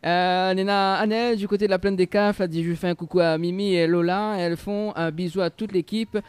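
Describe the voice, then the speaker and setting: A man's voice: sits high, steady tone, fairly quick, smooth, dry recording. A man hosting live radio with casual banter. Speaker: radio host.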